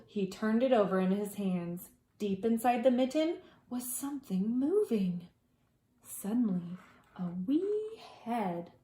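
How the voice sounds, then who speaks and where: Expressive woman reading a storybook, roomy sound. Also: medium pitch. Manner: dramatic, with hushed asides to young listeners. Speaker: preschool teacher reading storytime. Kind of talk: expressive children's storybook read-aloud.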